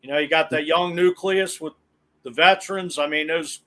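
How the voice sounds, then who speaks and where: A man's voice: fairly high pitch, fairly even intonation, normal speed, pushed and bright. An American man hosting a sports podcast in a casual, chatty style. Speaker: podcast host.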